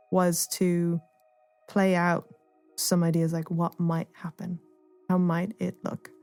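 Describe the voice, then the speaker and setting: A woman's voice: fairly low pitch, creaky, darkish tone, no room sound. A woman speaking thoughtfully and tentatively as an artist explaining their work in a reflective interview. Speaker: artist and playwright being interviewed.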